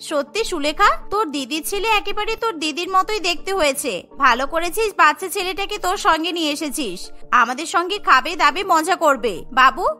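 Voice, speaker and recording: A woman's voice: very high voice, lively intonation, a little fast, bright, forceful tone, soft background music, full character voice. A woman voicing a gushing, tactless young female friend in a cartoon, with an effusive manner. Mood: cooing, delighted.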